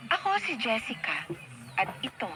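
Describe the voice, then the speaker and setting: A woman's voice: fairly high pitch, wildly swooping pitch, moderate pace. An actress with a Filipino accent playing an earnest, grateful young woman college student in a radio drama. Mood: earnest, resolved. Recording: very noisy radio drama broadcast.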